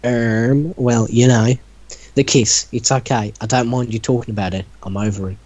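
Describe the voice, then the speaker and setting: A man's voice: mid-range voice, fairly even intonation, moderate pace, darkish tone, muffled recording. A man casually reading a story aloud to friends, bantering and breaking in with sceptical, teasing comments. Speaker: reader in a group reaction show.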